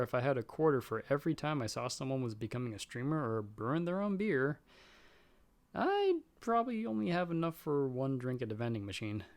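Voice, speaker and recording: A man playing a nervous, friendly young guy in an audio roleplay, talking in a casual, hesitant, self-deprecating way. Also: a little high. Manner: chatty, hesitant, sheepish. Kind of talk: casual one-sided roleplay dialogue.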